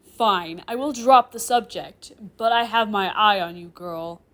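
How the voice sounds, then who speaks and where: A woman's voice: mid-range voice, brisk, natural voice. A woman voicing a proud, stern, easily offended male demon professor with a formal, indignant manner in a story. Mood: grudging, offended.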